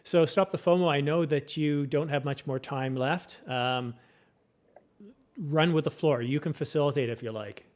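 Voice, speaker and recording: A man hosting a live stream panel in a relaxed, chatty way, handing the floor to guests and reading out viewer questions. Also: on the high side, normal speed.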